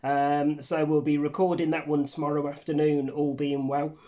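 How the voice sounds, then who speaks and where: A man with a hoarse, croaky voice from a cold, chatting casually to camera as a vlog host in a room at home. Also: fairly high pitch, flat delivery, normal speed, mellow. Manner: chatty, rambling, casually swearing. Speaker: channel host. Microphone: facing camera under a ring light.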